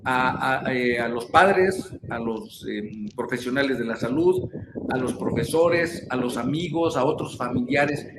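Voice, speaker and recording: A man hosting a live interview, greeting viewers and asking questions with halting restarts. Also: medium pitch. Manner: warm greetings, halting restarts, repeated words. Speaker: host. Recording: roomy sound with clear background noise.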